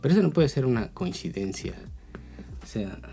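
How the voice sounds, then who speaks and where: Low, bright-toned Mexican man chatting. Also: sing-song, normal speed, creaky, soft background music. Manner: conversational, explanatory, often seeking agreement with tag questions. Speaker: video blogger. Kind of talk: casual opinion talk to camera.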